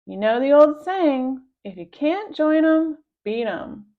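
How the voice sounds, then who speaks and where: Storybook schoolboy prankster, played by a woman, cocky and casual, highish voice, slightly dull recording. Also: big pitch swings, slow pace, heavily acted. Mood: sly. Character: confident, mischievous schoolboy prankster, the ringleader. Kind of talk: children's storybook read-aloud.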